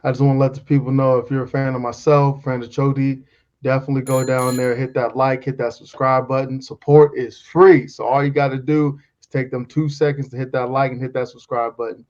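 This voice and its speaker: A man's voice: mid-range voice, level tone, brisk, darkish tone. A young man hosting an interview, talking in a casual, slangy, upbeat way. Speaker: interview host. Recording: dull lo-fi sound.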